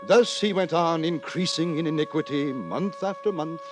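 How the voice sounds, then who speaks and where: Male actor as pompous gentleman narrator, high-pitched, florid, indignant, old radio drama, prominent music. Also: normal speed, lightly acted. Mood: aggrieved lamenting. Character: fussy, pompous gentleman narrator lamenting his wayward friend. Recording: old radio recording with loud music behind.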